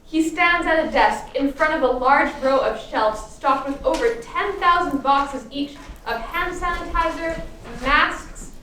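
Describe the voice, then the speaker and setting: High voiced lady narrating a radio play, sardonic n theatrical, big echo, sounds far from mic. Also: measured pace, few pauses, pushed and bright. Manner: sardonic, emphatic. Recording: echoey room, distant microphone, background noise.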